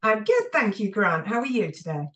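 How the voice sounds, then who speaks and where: A woman's voice: mid-range voice, big pitch swings, moderate pace, a bit bright, far from the mic. A woman in her forties with a southern English accent, chatting casually and telling her story as a podcast guest. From southern England.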